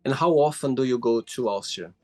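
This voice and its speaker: A man hosting a casual interview over a call, asking friendly, halting questions with many fillers. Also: mid-range voice, no echo, faint music behind. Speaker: host.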